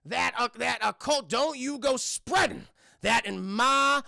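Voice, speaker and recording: Guy doing a snooty old belle, high n creaky, scolding everyone, super dramatic. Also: takes it slowly, sharp tone, dry recording, clean studio sound, heavily acted. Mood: scandalized, scolding. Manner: indignant, scolding, melodramatic. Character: hostile, self-righteous elderly Southern antebellum lady.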